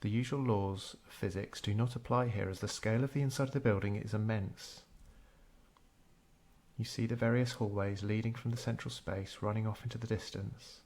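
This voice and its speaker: Man, lowish voice, guided meditation narrator, scripted delivery, measured and unhurried. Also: fairly even intonation, darkish tone.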